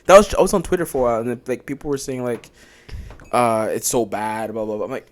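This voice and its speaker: A young man hosting a podcast in casual chat, animated and joking, often calling people bro. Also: mid-range voice, quick pace. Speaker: podcast host.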